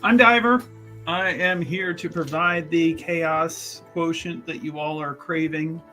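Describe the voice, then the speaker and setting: A man with a voice a bit higher than most chats as a tabletop player. His phrasing is wordy and playfully formal, and he hesitates often as he builds each sentence. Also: fairly even intonation, takes it slowly, bright, forceful tone, faint music behind. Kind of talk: casual character introduction.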